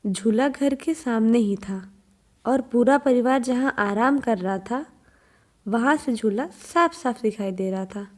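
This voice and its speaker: A woman's voice: a little high, unhurried. A woman narrating a scripted children's horror story as its storyteller.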